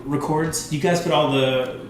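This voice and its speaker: A man's voice: a little high, fairly even intonation, moderate pace, over a noisy crowd. Casual man chatting in a very echoey room. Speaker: music podcast host. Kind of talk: casual chat. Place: studio with roomy echo. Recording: very echoey room, voices in background.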